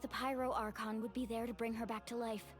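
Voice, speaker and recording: Highish voiced young heroine woman acting, loyal n emotional, video game scene w music. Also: level tone, fairly quick, lightly acted. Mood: grieving. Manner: earnest, emotional delivery. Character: passionate young woman warrior, loyal and heartfelt. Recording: music under the voice.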